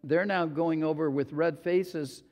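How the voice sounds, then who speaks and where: A male pastor preaching a sermon in a slow, deliberate way, sometimes acting out quotes. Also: a little high, fairly even intonation, darkish tone. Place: church sanctuary. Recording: long hall reverb.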